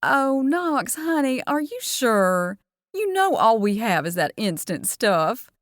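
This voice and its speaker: A woman voicing a folksy, motherly diner owner, higher and louder than her narration. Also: very animated, slow, dry recording, studio quality. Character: warm-hearted Southern diner owner, older woman.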